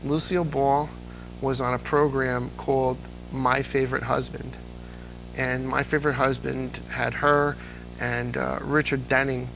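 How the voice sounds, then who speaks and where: A man's voice: mid-range voice, level tone, measured pace, gravelly, background noise. A man chatting as an interview guest, explaining things and telling anecdotes with a fan's enthusiasm. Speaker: interview guest, old-time radio fan. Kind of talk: casual interview chat.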